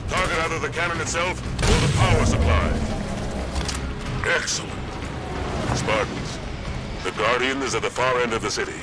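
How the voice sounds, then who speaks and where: A man's voice: mid-range voice, normal speed, pauses often, over loud music, natural voice. A man voicing a proud, resolute alien warrior leader in a video game, formal and commanding in his oratory. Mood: composed command.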